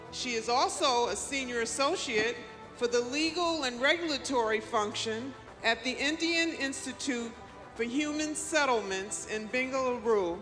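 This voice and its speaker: A woman's voice: high-pitched, pushed and bright, in a large hall. A woman presenting an award, reading formal prepared remarks at a gala. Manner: formal, measured.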